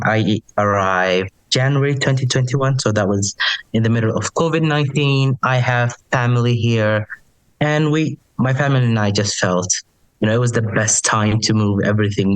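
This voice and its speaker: A man's voice: mid-range voice, smooth, no room sound, low-quality recording. A young man from Ohio, a podcast guest, speaking earnestly with fillers like uh and right. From Ohio, United States. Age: young adult. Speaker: podcast guest, committee treasurer. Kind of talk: casual interview conversation.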